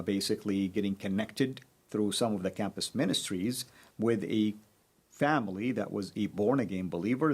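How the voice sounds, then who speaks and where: Low-voiced man with measured, hesitant delivery. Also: slightly rough. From Saudi Arabia. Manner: measured, frequent pauses and fillers. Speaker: guest. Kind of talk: personal testimony in conversation.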